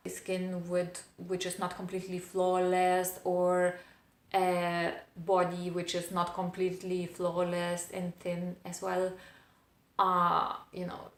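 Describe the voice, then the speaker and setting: A woman in her thirties who speaks English as a non-native speaker with a noticeable foreign accent. She talks in a thoughtful, reflective monologue with pauses and fillers. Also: fairly low pitch, completely flat delivery. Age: 30s. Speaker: vlogger. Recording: roomy echo.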